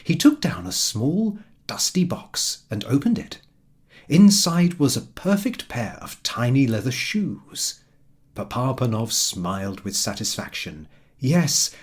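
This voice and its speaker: A man narrating a scripted storybook tale with expressive, deliberate phrasing. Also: medium pitch, slightly rough.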